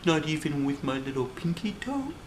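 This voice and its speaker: A man's voice: a little high, very animated, normal speed, a little hiss or hum, pitched above the performer's own voice. A man voicing a curious, cheeky stuffed toy elephant from a storybook in a childlike, inquisitive way.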